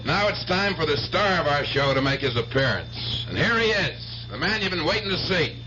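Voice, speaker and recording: An actor playing a worldly nightclub announcer in a radio drama. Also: male voice, on the high side, big pitch swings, moderate pace, noisy background. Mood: showy announcing. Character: worldly male nightclub announcer introducing the star act. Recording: dull old radio broadcast under loud music.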